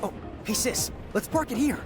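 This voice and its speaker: A man's voice: extremely high pitch, animated. A young man voicing a brash, easygoing hero who throws around cocky slang, in a game cutscene. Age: young adult. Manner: cocky slang, quick to joke. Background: game score music.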